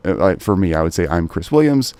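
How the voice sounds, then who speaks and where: A man's voice: low voice, big pitch swings, fast, a little mellow, no echo. A man hosting an interview show, speaking in an upbeat, encouraging way with a lot of fillers. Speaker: podcast host. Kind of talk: casual interview.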